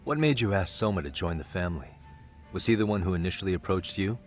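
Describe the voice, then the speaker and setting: A man playing a cool, focused detective who reasons in a measured way in an English game dub. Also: low voice, close to an everyday voice. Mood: calm, inquiring. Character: cool, analytical male detective, focused and composed. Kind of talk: video game cutscene dialogue. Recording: music behind the voice.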